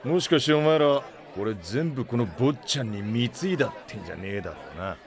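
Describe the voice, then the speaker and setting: A male actor playing a gruff, blustery middle-aged starship captain in a Japanese audio drama, with rough, brusque, slangy speech. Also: medium pitch, lively intonation, fairly quick, some chatter behind, natural voice. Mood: teasing, scolding. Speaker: ship captain.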